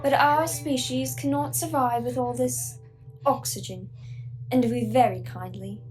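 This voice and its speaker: Teen girl doing polite creepy alien in a radio play, highish voice, faint music under. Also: steady tone, a little slow, rough recording. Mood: polite menace. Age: teenager. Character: polite, menacing alien parasite in human guise.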